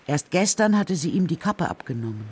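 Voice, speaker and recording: A woman narrating a children's radio play in a measured, scripted storytelling style. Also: lowish voice, big pitch swings, darkish tone, breathy edge.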